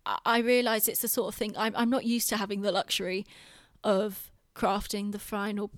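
A woman's voice: mid-range voice, level tone, normal speed, dry recording. British woman in a dry, close recording. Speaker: podcast host and theatre director. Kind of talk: casual podcast chat.

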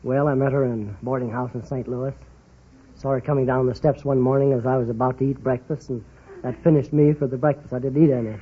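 A man's voice: mid-range voice, steady tone, quick pace, a little hiss or hum. A middle-aged man giving plain, matter-of-fact answers as a quiz show contestant. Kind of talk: casual interview answers. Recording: muffled old radio recording.

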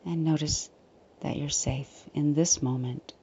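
Female meditation guide, low and mellow, soft breathy near-whisper, reassuring and unhurried. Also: steady tone, dull audio. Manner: soothing, unhurried, gently reassuring.

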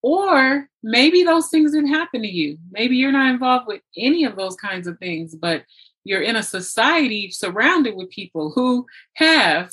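A woman's voice: mid-range voice, fairly bright tone, husky, poor audio. A woman hosting a conversational interview, speaking in an earnest, encouraging way. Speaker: interview host.

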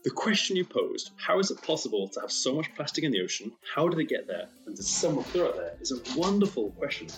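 A man with a medium-pitched voice gives a prepared, expert explanation for a young listener. His phrasing is formal and encouraging, and music plays behind him. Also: sing-song, quick pace, hardly any pauses, low-quality recording. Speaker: marine biologist answering a child's question. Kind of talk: prepared explanation addressed to a child.

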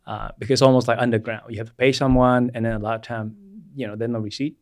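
A man in his forties from Vietnam, whose first language is Vietnamese, telling his life story as a guest chiropractor in an unhurried way with many fillers. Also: medium pitch, level tone, darkish tone, dry recording. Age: 40s. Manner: unhurried, with frequent fillers and restarts. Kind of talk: personal storytelling in an interview.

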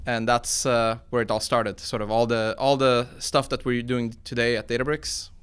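His voice is smooth and clear, sitting at an ordinary male pitch. He is a man in his forties from Iran who grew up in Sweden. He answers interview questions conversationally, explaining things informally like a researcher turned founder. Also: little pitch movement, normal speed, a bit bright. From born in Iran, grew up in Sweden. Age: 40s. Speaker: tech company founder and computer science researcher being interviewed.

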